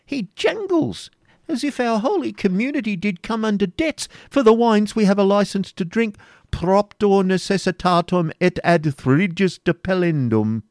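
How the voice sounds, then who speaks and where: A man plays a pompous medieval prior in a storybook tale, full of scorn and bluster. His voice rides high and swings widely, tripping into stammers when he is outraged. The sound is close and dry. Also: lively intonation, moderate pace, a little mellow, no room sound.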